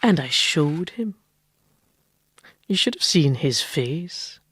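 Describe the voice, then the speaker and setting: An actress playing a defiant woman who lived as a man, teasing and theatrical, dropping into breathy hushes, in radio drama style. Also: low-pitched, animated, slow pace, background noise. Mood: teasing, intimate.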